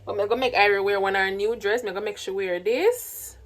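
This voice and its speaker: A woman's voice: medium pitch, fast, a crowd in the background. A young woman from Trinidad, speaking in a Jamaican patois accent that she has learned, chatting casually to her phone camera for a vlog. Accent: Jamaican patois, put on by a Trinidadian. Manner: chatty, mixes patois and standard English. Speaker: vlogger, single mother. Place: home, then in a car and out shopping.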